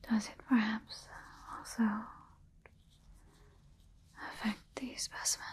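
A woman in her twenties in an ASMR exam roleplay as a scientist, speaking softly and clinically, close to the microphone. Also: a little high, little pitch movement. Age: 20s. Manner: soft, clinical, deliberate phrasing. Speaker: ASMR performer playing a scientist.

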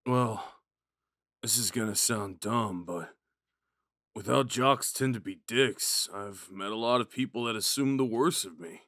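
Man acting a slow-talking drunk himbo jock. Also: on the low side, fairly bright tone, dry recording, studio quality. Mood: flirty, concerned. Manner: drunken, halting, goofy, rambling. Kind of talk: ASMR roleplay acting, speaking to the listener.

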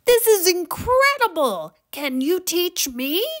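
A woman's voice: mid-range voice, animated, slow, fairly bright tone. A woman voicing an eager schoolboy in a children's storybook, speaking in an emphatic, wide-eyed manner. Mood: amazed. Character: eager schoolboy who adores magic.

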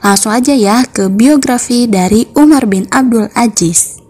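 A woman's voice: a little high, unhurried, a little mellow. Young lady teacher reading out a lesson step by step, bit of husk in the voice. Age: young adult. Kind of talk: scripted lesson narration for schoolchildren.

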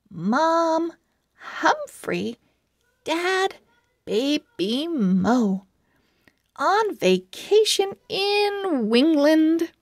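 Woman as a very slow, expressive young girl owl. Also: sits high, dry recording, studio quality, pitched above the performer's own voice, heavily acted. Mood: cheerful. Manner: slow, exaggerated storybook expressiveness. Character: cheerful, enthusiastic young girl owl writing her diary. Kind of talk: children's storybook read-aloud.

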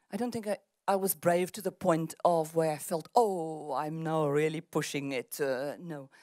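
Thoughtful Afrikaans-speaking woman, lowish voice. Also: mellow, dry recording, clean studio sound. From South Africa. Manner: thoughtful, with frequent restarts. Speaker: author. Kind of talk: festival panel conversation. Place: outdoor festival stage among trees. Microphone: handheld microphone.

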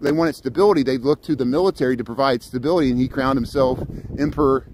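A middle-aged man from Indiana with a Midwestern American accent, chatting casually and haltingly as he livestreams a walk outdoors. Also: medium pitch, darkish tone. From Indiana, United States. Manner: halting, chatty, jumping between subjects. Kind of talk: casual rambling walk-along livestream chat. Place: outdoors, walking in a city park. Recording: noisy outdoor ambience with traffic.

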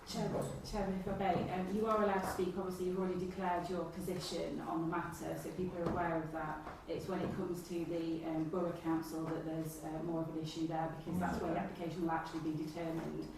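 A woman's voice: fairly low pitch, little pitch movement, quick pace, hardly any pauses. A woman formally advising on rules at a meeting. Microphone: distant camera microphone. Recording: echoey room, far off, noisy.

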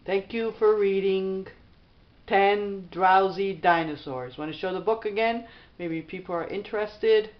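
A woman's voice: medium pitch, a little slow, dull audio, a little hiss or hum. A middle-aged woman chatting casually with a child, as a mother filming at home. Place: small bedroom at home. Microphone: handheld camera mic.

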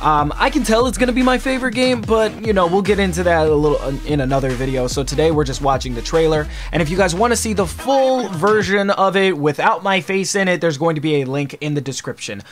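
A man in his twenties giving an excitable, slangy live reaction as a video host, recorded indoors at home. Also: on the high side, quick pace, few pauses, music in the background. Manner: animated, exclamatory, slangy. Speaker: YouTube host reacting to a game trailer. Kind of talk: casual live reaction vlog. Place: small furnished room at home, indoors.

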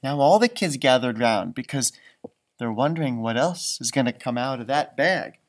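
A man's voice: medium pitch, animated, normal speed. A man in his thirties reading aloud expressively for children, with chatty asides and musing exclamations. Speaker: teacher, storytime host. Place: indoors, school library.

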